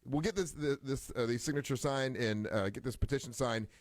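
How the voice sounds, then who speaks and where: A middle-aged man hosting a morning radio show, speaking earnestly with halting starts and fillers. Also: mid-range voice, no room sound, clean studio sound. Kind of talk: casual radio talk. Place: radio studio.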